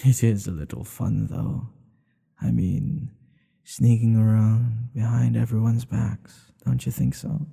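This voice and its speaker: A man playing a smitten older king in an ASMR boyfriend roleplay, regal and teasing, with courtly phrasing and a breathy voice that often drops toward a whisper. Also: medium pitch, little pitch movement, takes it slowly, mellow, heavily acted. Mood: reassuring, tender.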